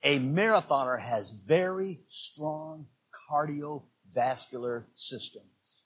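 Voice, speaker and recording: A man in his sixties preaching a sermon as pastor, swinging between quiet asides and loud, emphatic preaching. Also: on the high side, slow, breathy edge, poor audio. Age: 60s.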